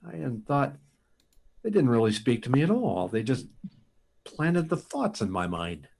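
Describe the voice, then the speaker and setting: An actor playing a desperate, insistent rocket pilot in an old-time radio science fiction drama, speaking in halting, urgent bursts. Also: male voice, mid-range voice, sing-song, vocal fry, a little mellow, slight background noise.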